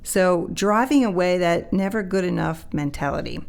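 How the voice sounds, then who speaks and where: A woman's voice: on the low side, normal speed, gravelly, darkish tone. A woman co-hosting a podcast, earnestly reading and discussing passages from a book. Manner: earnest, reads passages aloud. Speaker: podcast co-host. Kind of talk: casual chat, reading from a book.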